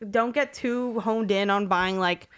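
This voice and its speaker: A young woman hosts a podcast in loose, slangy conversation. Her voice is clear and smooth, sitting at a middle pitch. She rambles through her thoughts, dropping "like" throughout. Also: unhurried, fairly bright tone. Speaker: podcast host. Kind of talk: casual podcast chat.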